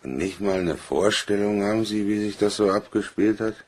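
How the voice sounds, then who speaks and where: Needling, sardonic detective, very deep and rough-voiced, offhand, radio drama male actor. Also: normal speed, a little mellow, lightly acted. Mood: mocking. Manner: casual, mocking. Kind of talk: German crime radio play acting.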